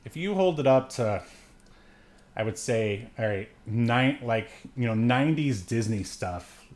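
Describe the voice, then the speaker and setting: A man's voice: mid-range voice, lively intonation, a little slow. A man co-hosting a podcast, chatting casually about a movie in a joking, rambling way. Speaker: podcast co-host. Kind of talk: casual movie review chat.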